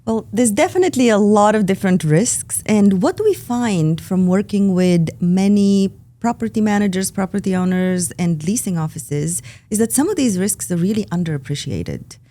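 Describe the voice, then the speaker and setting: A woman with a Bulgarian accent, a podcast guest talking in an earnest way with frequent pauses in a studio. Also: medium pitch, lively intonation, mellow. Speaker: operations lead, guest. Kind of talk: podcast conversation. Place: recording studio.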